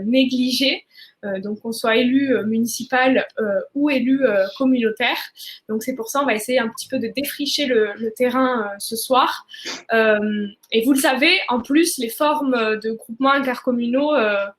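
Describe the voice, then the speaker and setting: A woman hosting a live online conference, presenting semi-formally and hesitating often with filled pauses mid-sentence. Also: a little high. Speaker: conference host.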